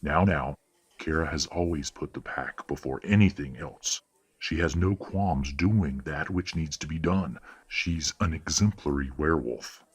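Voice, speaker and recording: A man playing a stern, courteous, middle-aged werewolf father in an audio roleplay drama, speaking in a formal, measured, authoritative way. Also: extremely low pitch, breathy edge, exaggerated voice. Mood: measured, insistent.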